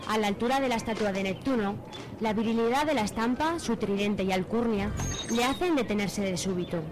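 A woman's voice: mid-range voice, fairly quick. A woman narrator with an accent from Spain tells a radio soap story. Her mid-range voice is mock-solemn and scripted, set over a light music bed.

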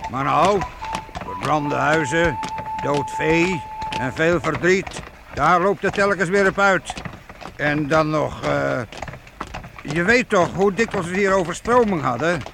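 A man's voice: on the high side, slow pace, vocal fry. An actor playing a fatherly, hearty medieval guild master in a radio play, with a folksy, jovial manner. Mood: earnest, fatherly. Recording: noisy old radio play recording.

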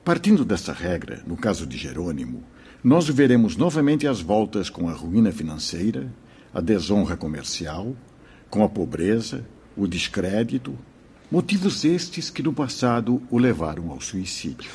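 A wise, fatherly priest teaches in a radio drama with a Brazilian accent. His voice is very breathy and husky, on the low side and mellow. He is solemn and measured. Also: sing-song, heavily acted. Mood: solemn, instructive. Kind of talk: Portuguese-language radio drama acting.

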